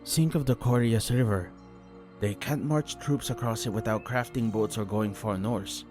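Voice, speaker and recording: A man voicing a grave, brooding fantasy warrior who speaks in a serious, deliberate manner. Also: on the low side, music in the background, voice pushed up, lightly acted. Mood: grim, reasoning.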